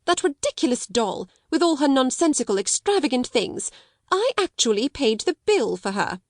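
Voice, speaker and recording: A woman's voice: fairly high pitch, lively intonation, moderate pace, no echo, clean studio sound, pitched above the performer's own voice. A woman reading the part of a stern, domineering headmistress, speaking coldly and sharply. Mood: scornful. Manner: cold, sharp, scolding. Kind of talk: audiobook character reading.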